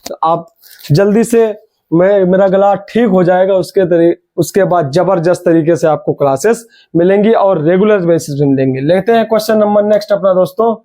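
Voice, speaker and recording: Young guy coaching a reasoning class, fairly high voice, sore throat, keeps pushing students to answer fast. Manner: energetic, urging students, repeats key words. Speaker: reasoning teacher. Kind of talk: online exam coaching class.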